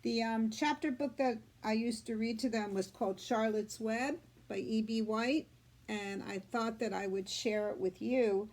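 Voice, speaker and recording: Woman, higher pitch, children's read-aloud, teacherly, simple explanations, deliberate emphasis. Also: steady tone, darkish tone. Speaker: children's story reader, school media specialist.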